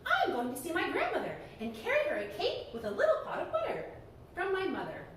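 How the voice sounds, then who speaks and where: A woman's voice: very high-pitched, wildly swooping pitch, quick pace, a bit bright, very echoey, distant mic, a little hiss or hum, voice pushed up, exaggerated voice. A woman voicing a sweet, innocent little village girl from a fairy tale, eager and wide-eyed.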